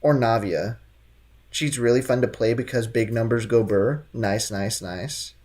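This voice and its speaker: A woman's voice: very deep voice, a little slow, a little mellow. A woman doing casual, slangy, sarcastic commentary as she streams a video game. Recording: faint game music behind.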